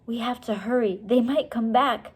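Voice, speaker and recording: Woman playing a nervous young storybook boy, pitched up. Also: moderate pace, husky. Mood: nervous, hurried. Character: earnest, nervous young boy adventurer, careful and bookish.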